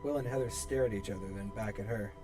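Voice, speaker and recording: A man's voice: fairly low pitch, fast, warm tone, soft background music. A man reading stage directions as the narrator of a horror script in a live table read.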